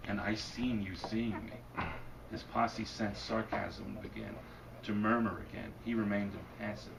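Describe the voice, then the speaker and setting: A man with a low, gravelly voice reads his own fiction in a wry, deadpan way, heard from some way off. His voice is wearing thin, and the bookstore room behind him is noisy. His delivery stays dry as the hard-boiled lines land. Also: measured pace. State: losing his voice. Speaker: author reading his fiction. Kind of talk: bookstore reading, then Q&A. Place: bookstore event. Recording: distant mic, noisy room. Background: audience laughter.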